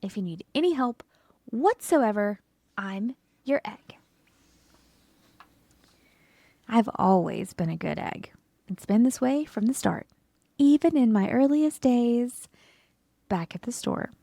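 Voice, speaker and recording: A woman voicing an earnest, dutiful male egg telling his own story in a children's storybook, often breathy. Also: fairly high pitch, expressive, measured pace, warm tone, no room sound, full character voice. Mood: earnest, proud. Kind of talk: children's storybook first-person narration.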